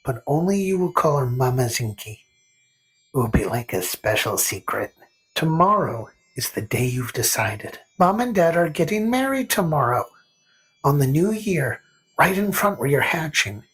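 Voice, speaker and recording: A man narrating a scripted fantasy story in the second person, with a gentle, whimsical storytelling manner. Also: on the high side, sing-song, moderate pace, low-quality recording. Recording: faint music under narration.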